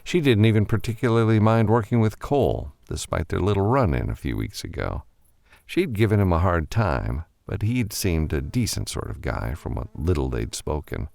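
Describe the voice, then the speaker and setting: Expressive, creaky male audiobook narrator. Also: low-pitched, normal speed, dry recording. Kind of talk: scripted fiction narration.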